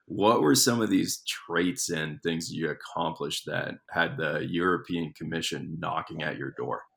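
A man's voice: low-pitched, unhurried, gravelly, fairly bright tone. A man hosting an interview podcast, upbeat and eager as he introduces and questions his guest. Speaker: podcast host.

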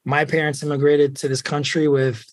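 A man's voice: on the high side, normal speed, no room sound. A man in his thirties from Ukraine, a podcast guest telling his life story in a candid, self-deprecating way. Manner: candid, self-deprecating, speeds up when excited. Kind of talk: casual storytelling interview.

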